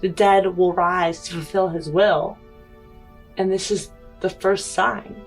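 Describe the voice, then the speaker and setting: A woman playing a tabletop roleplaying game, speaking in character in a deliberate, earnest, questioning way. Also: on the low side, fairly even intonation, soft background music.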